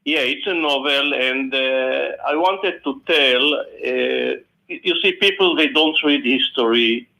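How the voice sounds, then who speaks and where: A man in his seventies with an Israeli accent, an author speaking haltingly as a guest on a phone interview, with many fillers and restarts. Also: medium pitch, vocal fry, sharp tone. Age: 70s. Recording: phone line.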